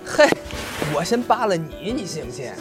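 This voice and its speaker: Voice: mid-range voice, very animated, normal speed, music in the background, lightly acted. A young adult actor playing a cheeky, eager novice apprentice in a Chinese audio drama. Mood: sulky banter.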